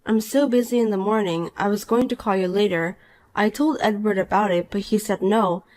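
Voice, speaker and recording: A woman voicing a calm, cool married young woman in a dramatized story, dry and patient. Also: mid-range voice, moderate pace, lightly acted, poor audio.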